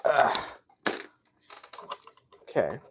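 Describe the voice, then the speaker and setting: A man's voice: drawn-out words, background noise. A man, a native Russian speaker with a slight Russian accent, hosting a casual livestream. He chats while building, laughs often and answers viewers' comments. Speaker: livestream host.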